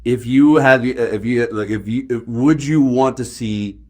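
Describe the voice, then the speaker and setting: A Canadian man interviewing in a casual, chatty way, with rambling, halting phrasing. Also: mid-range voice, a little mellow.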